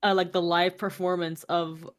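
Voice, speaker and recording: A woman's voice: on the low side, flat delivery, normal speed. A woman co-commentating in an informal, casual chat. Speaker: guest co-commentator.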